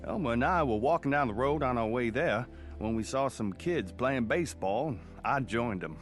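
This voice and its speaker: A man's voice: mid-range voice, animated, normal speed, faint music behind, lightly acted. An actor playing a plainspoken country man recalling his boyhood, narrating a radio drama with folksy speech. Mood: reminiscing. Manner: folksy storytelling.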